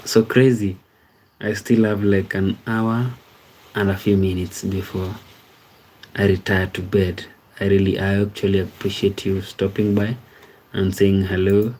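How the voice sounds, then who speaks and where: A man's voice: low-pitched, little pitch movement, a little slow, a little mellow, slight background noise, low-quality recording. A man from Kenya with a Kenyan accent, hosting a casual live stream, reading viewers' comments aloud and thanking them by name. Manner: easygoing, repeats words like "amazing, amazing". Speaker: livestream host.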